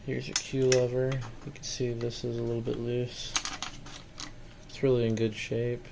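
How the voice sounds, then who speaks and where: A man's voice: medium pitch, level tone, moderate pace, gravelly. A man giving a casual, matter-of-fact walkthrough of equipment he is selling, plain-spoken, in a noisy home-video recording. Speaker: seller demonstrating equipment.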